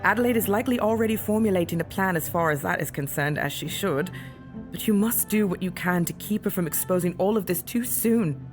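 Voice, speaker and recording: A woman's voice: fairly low pitch, a little fast, lightly acted. Guarded imprisoned mother voiced by an actress, earnest and urgent, audio drama with light music beneath. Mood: grave, urgent. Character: guarded, anguished imprisoned mother hiding evidence.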